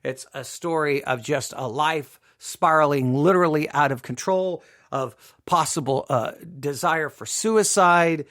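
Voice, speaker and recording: A man hosting an informal personal commentary, speaking emphatically in a halting, repetitive way. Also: a little high, fairly bright tone. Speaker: podcast host.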